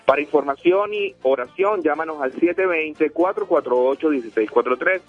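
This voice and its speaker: A man's voice: a little high, normal speed, slightly rough, soft background music. A man from Venezuela hosting a motivational radio show, speaking emphatically and repeating himself for effect. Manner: preachy, emphatic, repeats phone numbers. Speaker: radio host. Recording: tinny phone-like line.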